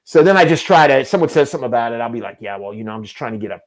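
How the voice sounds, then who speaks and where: A man's voice: medium pitch, lively intonation, very fast, a little hiss or hum. A man in his fifties telling long, self-mocking stories in a casual chat as host. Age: 50s. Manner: rambling, self-deprecating storyteller.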